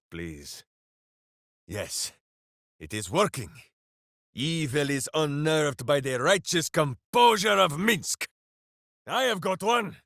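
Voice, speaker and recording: An actor voicing a big, simple, good-hearted berserker hero in a fantasy video game, bombastic and earnest. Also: male voice, a little high, creaky, a bit bright, no echo, clean studio sound, full character voice. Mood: earnest, boastful. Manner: bombastic, earnest, talks of himself in third person.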